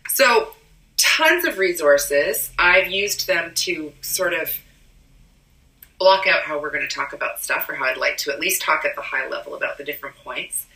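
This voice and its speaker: A woman co-hosting a podcast, hoarse from a cold and coughing now and then, explaining things in a rambling way with many ums. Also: deep voice, expressive, moderate pace, pushed and bright, far from the mic. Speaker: podcast co-host. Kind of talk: casual podcast chat. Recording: roomy echo, a bit off-mic.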